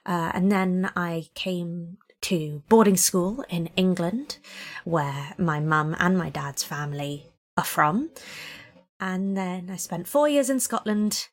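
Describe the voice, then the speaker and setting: A woman's voice: on the low side, slow pace. Wry, articulate young woman. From Hong Kong. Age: 20s. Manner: articulate, wry, laughs often. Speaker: podcast guest. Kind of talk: casual interview chat.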